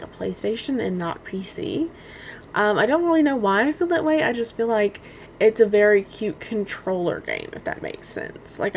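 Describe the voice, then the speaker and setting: Young woman, hoarse and strained, quiet delivery; casual rambling game reviewer, faint background noise. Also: medium pitch. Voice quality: quiet, cannot get louder. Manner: rambling, many "like" fillers, apologetic. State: hoarse, strained voice after allergies.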